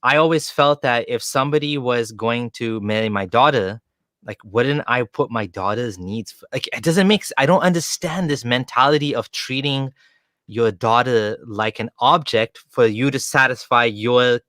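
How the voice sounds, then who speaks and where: A man's voice: mid-range voice, normal speed, pushed and bright, no room sound, studio quality. A man hosting a casual livestream interview, talking informally and often rephrasing himself as he goes. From Kenya. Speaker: interviewer, show host.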